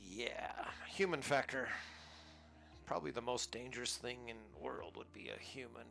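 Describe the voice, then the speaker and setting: A man's voice: mid-range voice, animated, normal speed, creaky, fairly bright tone, soft background music. An American man casually commenting on his flight simulator gameplay in a rambling, easygoing way, chuckling at himself now and then. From United States. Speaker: flight sim gaming channel host.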